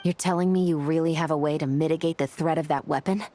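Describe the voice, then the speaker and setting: A woman's voice: sits low, steady tone, quick pace, dark tone, soft background music, close to an everyday voice. A woman playing a shrewd, skeptical investigator in a video game, speaking in a dry, matter-of-fact way.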